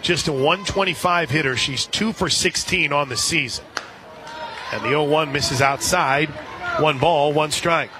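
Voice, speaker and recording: An American man doing live radio play-by-play commentary of a softball game. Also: on the high side, measured pace. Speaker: radio play-by-play sports announcer. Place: outdoor stadium broadcast position. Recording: crowd noise and music behind commentary. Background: stadium crowd and music.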